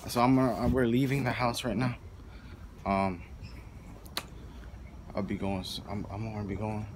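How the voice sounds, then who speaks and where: A man's voice: lowish voice, level tone, normal speed. A young man talking casually to his phone camera, rambling and cursing as he moves around his house and then outside. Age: young adult. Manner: rambling, mumbled asides, frequent cursing. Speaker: vlogger. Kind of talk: casual handheld vlog. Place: house indoors, then outdoors in a neighborhood. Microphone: phone held at arm's length. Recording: noisy phone recording.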